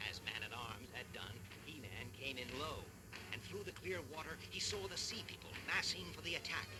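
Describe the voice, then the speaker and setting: A man reading an adventure storybook aloud at home, in steady, measured narration. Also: mid-range voice, low-quality recording. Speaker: storyteller, channel host. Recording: loud hiss and background noise.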